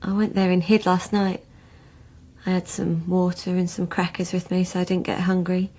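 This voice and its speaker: A woman's voice: lowish voice, little pitch movement, moderate pace, dark tone. An actress playing a serious, subdued young woman under police questioning in a radio drama. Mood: matter-of-fact. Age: young adult. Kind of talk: naturalistic radio drama acting.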